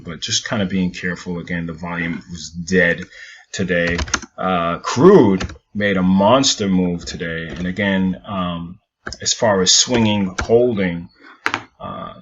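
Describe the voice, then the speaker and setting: A man's voice: sits low, a bit bright, lo-fi sound, a little hiss or hum. A man from Jamaica giving a casual stock market recap over charts, rambling and repeating himself.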